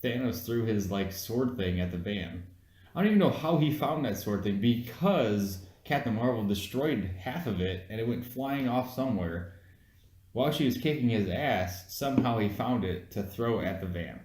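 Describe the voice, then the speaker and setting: A man with a fairly low voice talks in a reverberant room. He is having a casual review chat and comes across as blunt and argumentative, swearing freely as he makes his case. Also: lively intonation, moderate pace, a little mellow. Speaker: podcast co-host. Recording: echoey room.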